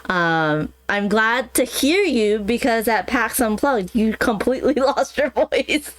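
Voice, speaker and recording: A woman with a slightly raised pitch hosts a relaxed livestream. She chats casually, giggles often and reads viewer comments aloud. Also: slow pace. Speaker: stream host.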